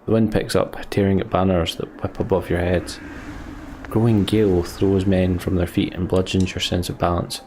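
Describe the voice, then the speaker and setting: A man's voice: deep voice, level tone, moderate pace, darkish tone, a little hiss or hum. A man hosting a let's play, commenting on the game and reading its story text aloud as narration.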